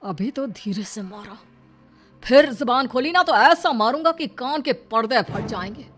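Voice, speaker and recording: A woman plays an older bullying patriarch in a cartoon family drama, scolding and menacing. The voice is fairly high, over music. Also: expressive, measured pace, a bit bright. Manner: threatening, scolding. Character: harsh, bullying older father-in-law, patriarch of the house. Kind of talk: cartoon story dialogue.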